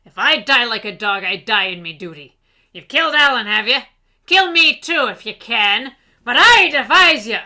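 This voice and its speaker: A woman's voice: a little high, lively intonation, moderate pace, sharp tone. A woman playing a defiant, loyal sailor in a radio play, speaking in rustic seafaring dialect. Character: defiant, loyal honest sailor facing death.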